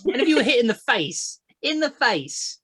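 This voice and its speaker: A woman's voice: a little high, sing-song, moderate pace, a bit bright, husky, dry recording. A young woman, hosting a crude casual chat podcast, blunt and giggly as she rambles. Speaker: podcast host.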